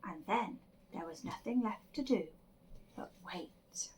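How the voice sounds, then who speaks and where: A woman reading a rhyming children's picture book aloud, expressively and with deliberate dramatic emphasis. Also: mid-range voice, animated, a little mellow, a little hiss or hum. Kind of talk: animated children's story reading, rhyming verse.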